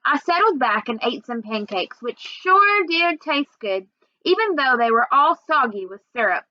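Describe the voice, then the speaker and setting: A woman reading a children's novel aloud in an expressive storytelling way. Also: high-pitched, normal speed, no echo, lo-fi sound. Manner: expressive, acts out dialogue. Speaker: reader.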